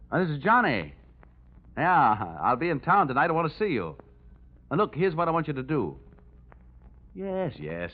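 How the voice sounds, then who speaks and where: An American actor playing a wry, wisecracking insurance investigator in an old-time radio detective drama. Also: male voice, medium pitch. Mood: smooth, brisk. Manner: wry, breezy, sardonic. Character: cocky wisecracking male insurance investigator, rugged and unflappable. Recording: tinny vintage radio recording, faint music.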